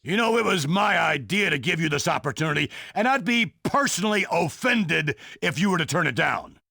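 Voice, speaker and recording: An actor playing an angry, domineering older wrestling boss in a game cutscene, gravelly, belligerent and imperious. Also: male voice, fairly high pitch, expressive, a little fast, sharp tone, no room sound, studio quality, full character voice. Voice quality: gravelly, hoarse. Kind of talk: video game cutscene acting.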